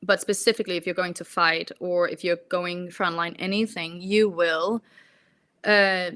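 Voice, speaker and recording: Low-pitched woman from Denmark, casual interview guest. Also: fairly even intonation, normal speed, a bit bright, smooth. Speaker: interview guest, former volunteer medic. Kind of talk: casual, reflective interview talk.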